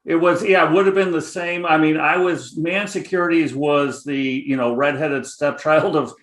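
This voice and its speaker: A man's voice: fairly high pitch, fairly even intonation, moderate pace, roomy sound. A middle-aged man with a Chicago accent, a guest options trader talking casually, rambling with many fillers. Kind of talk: casual interview chat.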